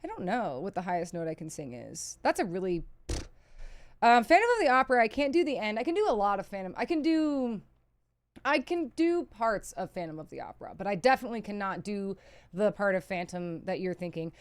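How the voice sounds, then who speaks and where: A woman's voice: medium pitch, big pitch swings, a little fast, no echo. A woman from the chats casually on a livestream, answering questions in a candid, self-deprecating, sweary way. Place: indoors, seated at a PC.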